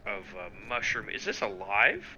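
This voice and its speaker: A man playing a tabletop role-playing game, improvising casually in a halting way and repeating words. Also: fairly low pitch, huge pitch swings, bright, forceful tone, lo-fi sound.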